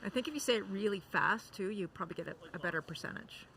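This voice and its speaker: A man's voice: sits high, expressive, fast, creaky, sharp tone, a little hiss or hum. A man giving light, joking color commentary on a curling match. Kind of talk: sports commentary.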